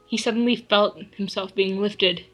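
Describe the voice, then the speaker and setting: A woman's voice: medium pitch, fairly even intonation, moderate pace, poor audio. A young woman co-reading fan fiction aloud, playful, with laughing asides. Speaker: co-reader. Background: faint classical music.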